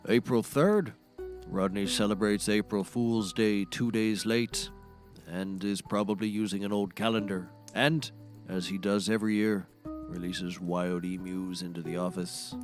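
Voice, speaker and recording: A low-pitched, eccentric comedy character, acted by a man, speaks like a self-serious folksy raconteur. He rambles grandly through his tall tales. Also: steady tone, measured pace, soft background music, natural voice. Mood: dry, wry. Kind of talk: scripted audio comedy acting.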